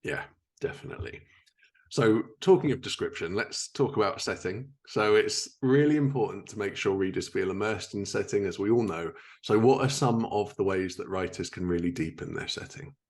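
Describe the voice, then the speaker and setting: Low-voiced man hosting a podcast interview. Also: normal speed, darkish tone. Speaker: podcast host interviewing a guest. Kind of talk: casual interview chat.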